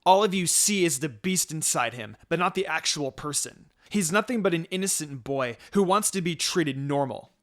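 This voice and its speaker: A man's voice: a little high, animated, normal speed, few pauses, voice pushed up, natural voice. Man reading as a protective young ninja woman. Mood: fierce, angry. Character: protective young female ninja, polite but fierce.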